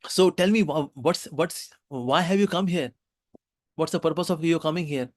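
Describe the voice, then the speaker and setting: A man's voice: on the high side, normal speed, dry recording, studio quality, lightly acted. A man doing a sweet small boy god. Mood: gentle.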